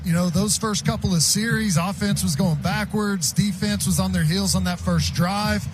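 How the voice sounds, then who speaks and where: A man's voice: high voice, fairly even intonation, normal speed, music in the background. A man working as a radio color commentator, giving opinionated, conversational football analysis. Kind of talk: football analysis with quick reactions.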